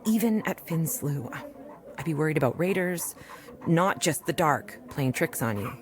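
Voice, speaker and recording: An actress playing a weary, dry, no-nonsense rural doctor in an audio drama. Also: female voice, deep voice, moderate pace. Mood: dry, firm. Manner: dry, deadpan. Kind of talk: audio drama acting with recorded diary narration.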